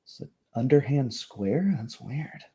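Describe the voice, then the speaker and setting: Distracted townsman deputy played by a male game master: higher-set, muttering, gawking, easily sidetracked. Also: expressive, measured pace, dark tone, lightly acted. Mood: puzzled, distracted.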